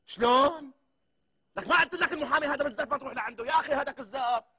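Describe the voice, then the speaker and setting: A man's voice: very high voice, racing, sharp tone. A man playing an argumentative, hot-tempered barber in a comedy sketch, speaking with a Syrian accent and repeating himself. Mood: angry, exasperated. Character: hot-tempered, chatty male barber ranting into his phone.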